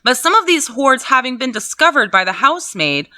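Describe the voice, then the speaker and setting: Bright-voiced woman reading a novel aloud. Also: high-pitched, sing-song, fairly quick, no echo. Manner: laughs often, swears, chatty asides. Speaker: reader and host. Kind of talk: casual book reading with commentary. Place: at home.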